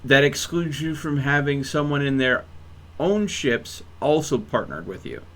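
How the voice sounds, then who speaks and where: A man's voice: medium pitch, animated, a little slow, fairly bright tone, a little hiss or hum. A man hosting a game stream in casual banter, rambling in a mock-serious way and stammering over repeated words. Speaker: live streamer hosting a gaming session.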